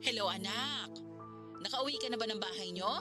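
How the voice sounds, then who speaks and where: A woman's voice: mid-range voice, fairly quick, pushed and bright, soft background music, close to an everyday voice. A woman playing a protective, disapproving middle-aged grandmother in a Filipino radio drama. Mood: caring. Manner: assertive, motherly.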